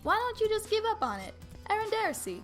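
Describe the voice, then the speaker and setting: A brash young Italian gamer buddy, as a woman acts him out in a dramatic story reading. He speaks with an Italian accent in an exasperated way, his pitch jumping high above the narration. Music sits behind the voice. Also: big pitch swings, brisk.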